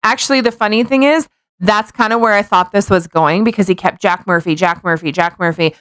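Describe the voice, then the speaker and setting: Clear-voiced sarcastic woman, close dry sound. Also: medium pitch, fairly quick, fairly bright tone, no room sound. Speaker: podcast co-host. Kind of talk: casual chat.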